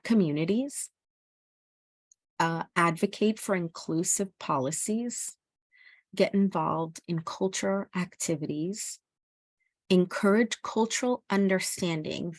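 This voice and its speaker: Casting: woman, middle-aged, earnest parent presenter, slightly low register, slow delivery, informal teaching style. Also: dry recording. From El Salvador. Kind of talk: informal educational presentation with personal experience.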